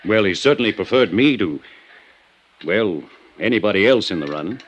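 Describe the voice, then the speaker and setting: An actor playing a defensive, indignant small-town American county attorney in Golden Age radio drama style, testy and guarded. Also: male voice, lowish voice, lively intonation, moderate pace. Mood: guarded, grudging.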